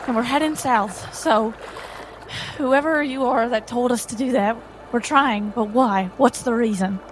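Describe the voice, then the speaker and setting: A woman's voice: a little high, a little slow, noisy background, voice pushed up, lightly acted. Woman playing insistent young female adventurer, raised voice. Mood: demanding, frustrated. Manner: insistent, demanding. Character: determined, questioning young female adventurer calling into darkness.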